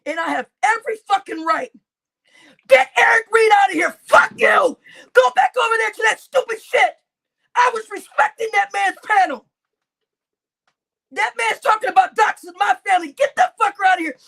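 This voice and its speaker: A woman livestreamer, crying as she rants at her chat, shouting with heavy swearing and repeating her outbursts. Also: very high-pitched, moderate pace, vocal fry, poor audio. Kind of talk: angry livestream rant to chat.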